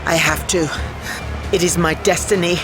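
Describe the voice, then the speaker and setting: An actress playing a mysterious, dangerous fortune-teller in an audio drama. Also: female voice, lowish voice, steady tone, moderate pace, close to an everyday voice. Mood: defiant. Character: mysterious female fortune-teller bent on killing. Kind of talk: audio drama acting in a trailer. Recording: music behind the voice.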